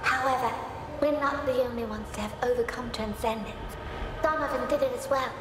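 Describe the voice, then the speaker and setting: High, reverberant ghost girl played by a woman. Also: fairly even intonation, fairly quick, close to an everyday voice. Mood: earnest. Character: ghostly girl spirit, earnest younger sister. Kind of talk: dramatic fantasy game dialogue. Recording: long ethereal reverb, noisy ambience.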